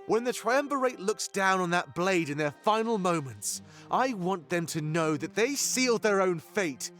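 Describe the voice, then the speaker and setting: An actor playing a fervent rebel leader in a fantasy video game, giving grandiose, oratorical speeches. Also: male voice, high voice, expressive, moderate pace, fairly bright tone. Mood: fiery, vengeful. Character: fervent male rebel leader, an anthropomorphic animal rallying his fighters. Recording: music behind the voice. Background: music.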